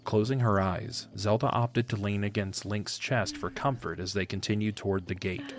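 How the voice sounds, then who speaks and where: A man narrating a scripted fantasy story in a measured, literary storytelling manner. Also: lowish voice, fairly even intonation. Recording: faint background ambience noise.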